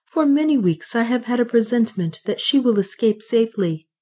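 A woman's voice: a little high, animated, fairly quick, mellow, husky, voice pushed up. A woman voicing a resigned old servant. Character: older male servant Francisco, calm and resigned.

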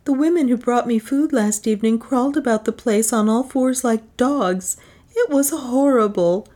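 A woman voicing a young woman treated as a goddess, imperious before priests and frightened in private, her voice lifted higher than her narration. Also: normal speed, dark tone, natural voice.

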